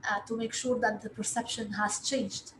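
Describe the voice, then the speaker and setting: High-voiced Lebanese woman in an echoey room. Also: little pitch movement. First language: Arabic. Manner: halting, hesitant delivery with frequent long filled pauses. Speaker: interview guest, investor relations director. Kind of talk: interview answers. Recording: echoey room with faint background noise.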